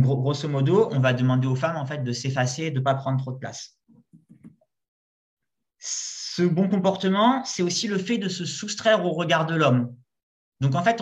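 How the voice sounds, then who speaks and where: A man's voice: a little high, lo-fi sound. A man in conversation explaining his view at length, with frequent hesitations and restarts. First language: not Arabic. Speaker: discussion guest. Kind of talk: casual spoken debate.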